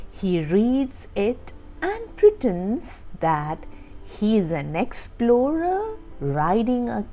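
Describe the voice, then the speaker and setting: A woman reading a children's storybook aloud as a storytime host, with expressive, deliberate phrasing for young listeners. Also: on the high side, gravelly. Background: background music.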